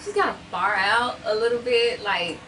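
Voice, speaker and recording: A woman actor slowly searching for words as she describes her character. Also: a little high, fairly bright tone. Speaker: actor and guest. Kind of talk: casual interview chat. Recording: distant mic with noisy background.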